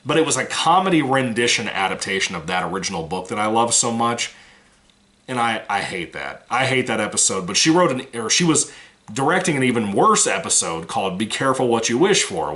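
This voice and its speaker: A man's voice: mid-range voice, sharp tone. A man giving a casual, rambling movie review, frank and swearing now and then, his voice still recovering from a cold.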